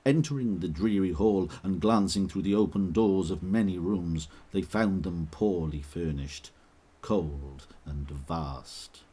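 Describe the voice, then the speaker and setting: A man narrating a classic story in a measured, expressive reading. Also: sits low, husky.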